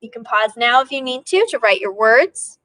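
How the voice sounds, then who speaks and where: A woman's voice: a little high, expressive, normal speed, fairly bright tone, no echo, low-quality recording. A woman, an elementary teacher leading a read-aloud lesson for young children, asking questions and prompting as she goes.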